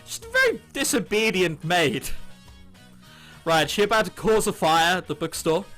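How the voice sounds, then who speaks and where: A high-voiced man with a bright, forceful tone narrates a visual novel let's play. His delivery swings widely and is sarcastic and sweary, as he reads the dialogue and comments on it. Game music plays behind him. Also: very animated, moderate pace. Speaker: gaming YouTuber. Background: game background music.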